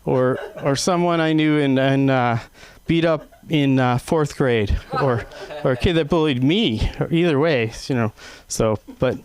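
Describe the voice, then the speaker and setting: A man's voice: medium pitch, unhurried, hardly any pauses, a little mellow, clear, resonant tone. Rambling self-deprecating stand-up man. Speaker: stand-up comic. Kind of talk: open mic stand-up. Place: comedy club stage.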